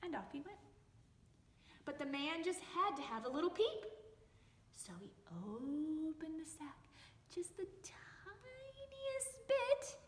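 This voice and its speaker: A woman reads a children's story aloud in a high, very animated voice. She takes her time, stretching words for suspense in a theatrical way. The room adds a noticeable echo. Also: slow pace, slight background noise. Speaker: library storytime host and storyteller. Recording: echoey reverberant room.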